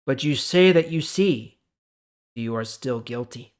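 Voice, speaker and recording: A man voicing a calm, authoritative male teacher in a measured, solemn Bible story reading. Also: medium pitch, lightly acted. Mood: calm authority.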